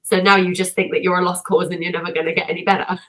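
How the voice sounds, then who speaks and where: A woman singer and vocal coach, a guest on a podcast, telling her story in an energetic, self-deprecating way. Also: fairly low pitch, fast talker. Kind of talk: casual podcast conversation.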